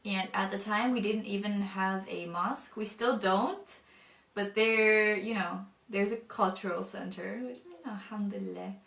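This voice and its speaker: A young woman, not a native English speaker, telling her personal testimony thoughtfully, with many pauses and fillers. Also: mid-range voice, creaky, fairly bright tone, reverberant, far from the mic. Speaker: convert telling her story.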